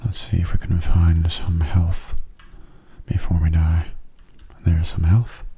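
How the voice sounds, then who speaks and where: A man giving soft-spoken ASMR game commentary, breathy and often near a whisper, in a gentle, explanatory way. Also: low-pitched, flat delivery, slow.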